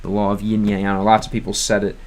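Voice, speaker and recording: A man's voice: lowish voice, completely flat delivery, fast talker. A young American man streaming casually, rambling with many fillers and restarts, stumbling through beginner Russian. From United States. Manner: hesitant beginner Russian with self-corrections. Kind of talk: casual livestream chat.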